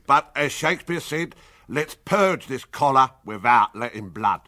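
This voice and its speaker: A man acts a chummy, obliging jail worker in a British radio comedy. His mid-pitched voice is bright and closely recorded, with no room around it. He speaks deferentially but matily, in a British accent. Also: animated, unhurried, dry recording, clean studio sound. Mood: sympathetic. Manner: matey, deferential. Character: chummy, obliging male jail worker who quotes Shakespeare.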